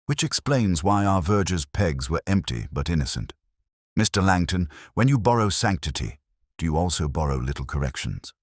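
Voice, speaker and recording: A man voicing a gentle, unhurried, dryly ironic police inspector in a mystery story. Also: low-pitched, very animated, no echo, clean studio sound. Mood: quietly probing. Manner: gentle, measured, merely courteous.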